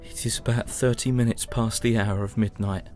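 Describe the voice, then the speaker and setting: Guy playing tired young british soldier reading his war diary, low even voice, mournful, music behind. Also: lightly acted. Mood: bleak, weary. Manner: solemn, measured, mournful. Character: weary, grieving young British soldier writing a war journal. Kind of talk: dramatic first-person journal narration.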